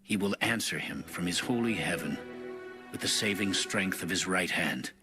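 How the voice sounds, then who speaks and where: A man narrating scripture in a solemn, measured, formal reading. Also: sits low, animated. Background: music under the voice.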